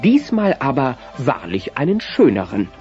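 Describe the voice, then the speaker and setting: A man's voice: mid-range voice, huge pitch swings, moderate pace. A man narrating a German comedy audio play in a storytelling style. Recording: slightly muffled tape transfer. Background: music.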